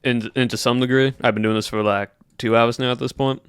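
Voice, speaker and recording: A man's voice: mid-range voice, steady tone, fairly quick, clean tone, no room sound, clean studio sound. A man streaming live and chatting casually with viewers in a put-on Southern accent, musing aloud in a loose drawl. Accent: put-on American Southern. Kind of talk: casual livestream chat with viewers.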